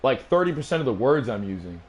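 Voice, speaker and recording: A man's voice: medium pitch, very animated, fast talker, lo-fi sound. Rambling man with a New England accent. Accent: New England, Rhode Island. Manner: rambling, boastful, swears freely. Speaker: streamer playing his old music. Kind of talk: casual stream chat. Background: music playing.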